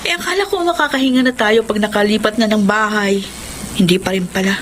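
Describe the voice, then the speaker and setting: A woman's voice: mid-range voice, fairly quick, background noise, close to an everyday voice. A woman playing a discontented young wife worn by money worries in a Tagalog radio drama, speaking in a plaintive, conversational way. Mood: frustrated, plaintive.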